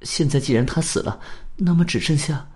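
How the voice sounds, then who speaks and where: A man's voice: fairly high pitch, fairly quick, darkish tone, pitched above the performer's own voice, close to an everyday voice. A man voicing a proud, anxious young woman. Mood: reserved. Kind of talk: radio drama acting.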